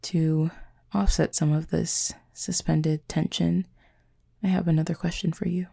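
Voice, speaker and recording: A woman playing a gentle, formal, wounded cupid in an intimate audio roleplay, speaking softly in measured, breathy phrases. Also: deep voice, little pitch movement, exaggerated voice. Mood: apologetic, gentle. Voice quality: husky, breathy, creaky. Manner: measured, formal, soft-spoken with breathy pauses.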